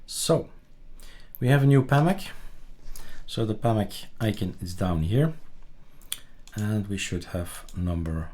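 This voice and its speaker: Male tutorial presenter, lower register, casual and halting, thinks aloud while demonstrating. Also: expressive, darkish tone, a little hiss or hum. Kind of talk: casual screen-recorded software tutorial.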